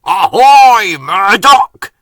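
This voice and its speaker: Friendly storybook dock engine, male, jaunty old-salt manner, forceful tone man acting it out. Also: high voice, sing-song, very slow, no room sound, studio quality, pitched above the performer's own voice, exaggerated voice. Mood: hailing.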